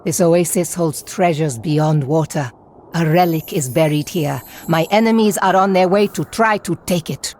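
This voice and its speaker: An actress playing a proud, resolute warrior leader in a video game, speaking with solemn command. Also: female voice, low voice, normal speed, darkish tone, slight background noise, lightly acted. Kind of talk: video game cutscene acting.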